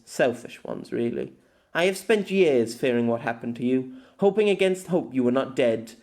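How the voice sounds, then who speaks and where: A man's voice: mid-range voice, a little mellow, close to an everyday voice. A man voicing a weary, lonely, long-lived empress in an audiobook, reading her farewell letter in a formal, wistful manner. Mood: fond, regretful. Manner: formal, wistful, measured with dramatic pauses. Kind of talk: scripted audiobook narration of a letter.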